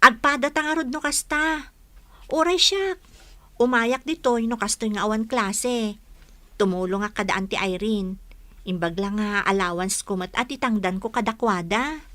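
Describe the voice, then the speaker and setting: A woman's voice: a little high, moderate pace, bright, forceful tone. A woman playing a sweet, helpful young student with an Ilocano Filipino accent in a comedy radio drama, friendly and chatty. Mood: friendly, open. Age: young adult. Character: sweet, helpful young female student helping at a market stall.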